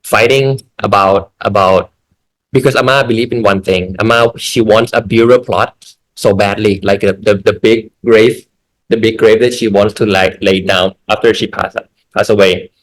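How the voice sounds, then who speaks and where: A film director from Thailand speaking English with a Thai accent, measured and restarting often. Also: male voice, on the low side, fairly even intonation, poor audio. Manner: measured, with repeated words and restarts.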